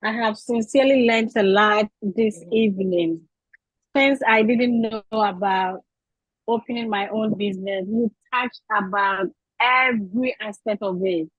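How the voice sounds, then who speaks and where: Slow, husky Ghanaian woman asking a question. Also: mid-range voice, dry recording. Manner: earnest and polite, with many restarts. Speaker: audience member asking a question. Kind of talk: question from the floor. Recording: dry, lo-fi audio.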